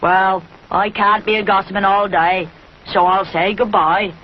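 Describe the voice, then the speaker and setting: A woman voicing a grumbly old male farmer in a storybook, with a gruff rustic drawl. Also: medium pitch, steady tone, a little slow, lo-fi sound, a little hiss or hum, close to an everyday voice.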